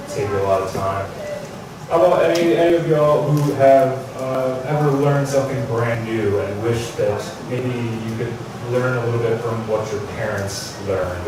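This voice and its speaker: A man's voice: medium pitch, background noise. Man giving a talk in an echoey hall. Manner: loud, engages audience with questions. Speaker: conference speaker. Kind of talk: tech conference talk. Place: large conference hall. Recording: echoey hall, mic from far off.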